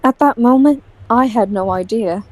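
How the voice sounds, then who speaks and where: A woman's voice: on the high side, moderate pace, a little mellow, lightly acted. A woman voicing a proud, easily exasperated young aristocratic boy in an audio drama, with a dry, formal, indignant way of talking. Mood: earnest recounting.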